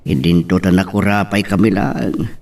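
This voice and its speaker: A man's voice: sits low, steady tone, normal speed, vocal fry, a little mellow, recorded from a distance. A man with an Ilocano accent playing a humble, worried elderly father in an audio drama. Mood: worried, musing. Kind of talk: Ilocano radio-style drama acting.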